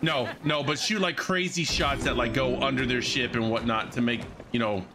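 A man's voice: mid-range voice, moderate pace, background noise. A man in his thirties live-streaming a game on a headset mic, chatting casually, repeating his phrases and swearing. He says he is losing his voice and keeps coughing. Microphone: headset microphone.

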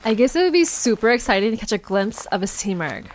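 A woman playing an excitable, slightly nervous young adventurer in an audio drama, with an expressive manner. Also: a little high, fast talker, a little hiss or hum. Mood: curious.

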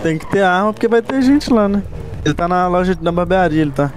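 Brazilian male gamer, rapid casual banter, slightly high voice, noisy game chat. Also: animated, soft background music. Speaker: fellow online roleplay player. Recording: game voice chat, clear background noise.

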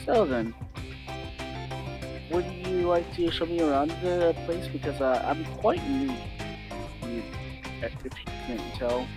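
A man improvising a newcomer slayer in a game roleplay, speaking tentatively and haltingly. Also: on the high side, lively intonation. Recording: music clearly behind the voice. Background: game music.

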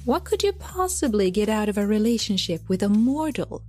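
A woman's voice: mid-range voice, big pitch swings, normal speed, warm tone, soft background music, natural voice. A woman voicing a skeptical male angel, second in command, in an audio drama. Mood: incredulous. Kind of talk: audio drama character dialogue.

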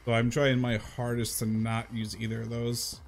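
A man's voice: medium pitch, monotone, normal speed, creaky, faint music behind. A man in his thirties chatting casually to viewers as he plays a game, rambling and repeating himself in an informal way. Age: 30s. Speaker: video game livestream host.

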